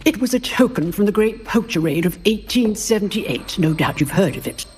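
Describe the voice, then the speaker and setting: A woman playing a battle-hardened old magic professor in a video game, lecturing drily and briskly in a British accent. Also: mid-range voice, expressive, a little mellow, slight background noise, natural voice. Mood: wry, proud. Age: older. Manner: dry, brisk, authoritative lecturing. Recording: long hall reverb, faint music.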